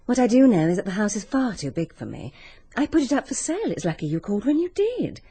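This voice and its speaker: An actress playing a wealthy, self-deprecating widowed lady of the house in a radio drama, gushing and airy, with a husky voice. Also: medium pitch, big pitch swings, quick pace, mellow. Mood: flattered, gushing.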